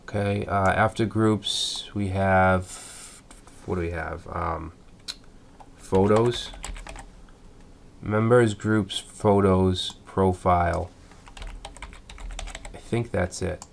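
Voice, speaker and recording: Slow, deep-voiced man walking through code. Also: fairly even intonation, gravelly, slight background noise. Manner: methodical, thinking aloud with fillers. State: stuffed up from a cold. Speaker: coding tutorial instructor. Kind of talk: screencast coding tutorial.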